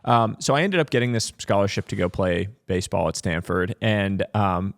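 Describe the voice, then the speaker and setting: Man from Massachusetts, podcast guest, reflective storytelling, fillers, lowish voice, dry close sound. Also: normal speed, vocal fry, dry recording. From Massachusetts, USA. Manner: thoughtful, lots of "um" and "like" fillers.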